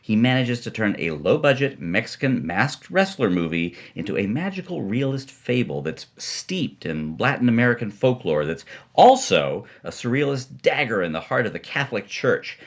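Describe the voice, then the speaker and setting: A man co-narrating a story in an animated, emphatic, playful scripted style. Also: medium pitch, moderate pace, hardly any pauses. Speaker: podcast co-storyteller.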